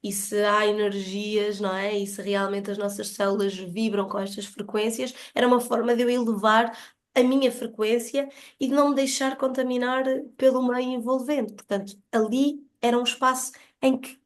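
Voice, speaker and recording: Female podcast guest, European Portuguese accent, fairly high pitch, earnest explanatory delivery in reflective conversation. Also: little pitch movement, normal speed.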